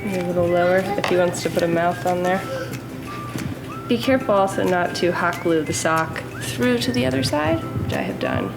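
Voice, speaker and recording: A woman's voice: lowish voice, moderate pace, vocal fry. A woman hosting a family craft video, chatting casually and encouragingly as she gives simple instructions. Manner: animated, encouraging when talking to the child. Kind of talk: casual craft tutorial and chat with a young child. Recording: echoey room with music underneath. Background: music.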